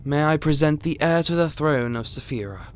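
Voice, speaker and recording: A man's voice: a little high, lively intonation, moderate pace, a little hiss or hum, lightly acted. A man playing a polite, hesitant royal chamberlain in a fantasy audio roleplay, speaking formally and deferentially.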